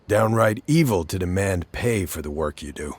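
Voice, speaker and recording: A man's voice: deep voice, lively intonation, normal speed, breathy edge. A man playing a world-weary, blunt monster-hunting mercenary in a fantasy game, laconic and matter-of-fact. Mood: blunt, sardonic. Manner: laconic, matter-of-fact, clipped colloquial phrasing. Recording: background music under the dialogue.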